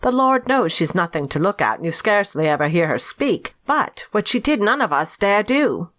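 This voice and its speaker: A woman's voice: medium pitch, big pitch swings, normal speed, fairly bright tone. A woman playing a gossipy, deferential but disapproving housekeeper in an audio drama. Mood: amazed, gossipy.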